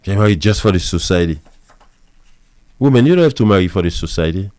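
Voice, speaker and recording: A man's voice: deep voice, lively intonation, fairly quick, darkish tone, no room sound. A man lecturing women on marriage as a pastor and counselor, repeating his points with emphasis. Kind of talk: preachy advice monologue.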